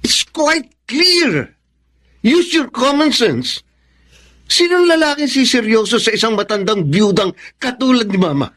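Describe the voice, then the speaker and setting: Radio drama: protective, distrustful grown son, raised pitch, very animated, insistent. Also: male voice, a little slow, exaggerated voice. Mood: indignant. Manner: accusing, insistent. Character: suspicious, indignant, protective grown eldest son.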